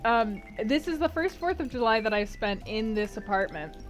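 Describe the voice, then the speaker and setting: A woman with a slightly high voice chats loosely with her stream audience, rambling through fillers and breaking into frequent laughs. She is a streamer hosting a read-aloud book club. Soft music plays faintly behind her. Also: lively intonation, moderate pace. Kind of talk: casual chat with live chat before a stream reading.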